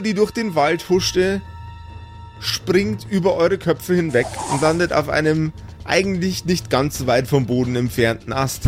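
Guy as game master, bit high voice, draws out the fantasy scene for suspense, faint music behind. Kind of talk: improvised narration of a tabletop fantasy audio play.

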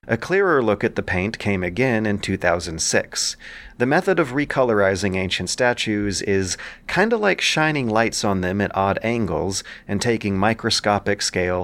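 A man narrating scripted commentary as a podcast host, with measured, literary and dramatic phrasing. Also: lowish voice, fairly bright tone. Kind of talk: scripted commentary on ancient epic and history.

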